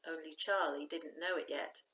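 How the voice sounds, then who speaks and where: A woman reading a children's novel aloud in an expressive, emphatic storytelling style. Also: low voice, moderate pace.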